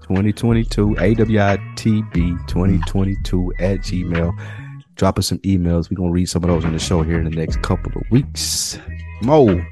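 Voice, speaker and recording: Man, American accent, deep voice, casual slangy podcast chat, opinionated, music underneath. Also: normal speed, warm tone. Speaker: podcast co-host.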